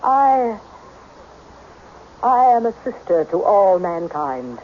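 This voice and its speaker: A woman playing a mysterious, imperturbable self-styled sister to all mankind in a radio mystery, speaking formally and deliberately with quiet menace. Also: medium pitch, very animated. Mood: cryptic, unruffled. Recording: dull, noisy old radio recording.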